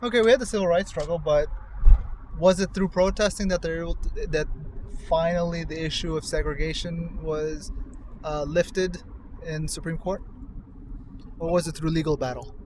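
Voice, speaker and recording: A man's voice: fairly high pitch, steady tone, normal speed, a little mellow, low-quality recording. An American man in casual discussion as a co-host, with a probing, questioning way of talking. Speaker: show co-host. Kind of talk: casual discussion while riding in a car. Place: inside a moving car. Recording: clear car and road noise.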